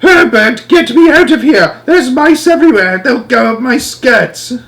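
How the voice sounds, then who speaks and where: A shrill storybook wife and mother, acted out by a man, screaming in panic. The voice is pushed very high and loud. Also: expressive, a little slow, vocal fry, heavily acted. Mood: hysterical panic.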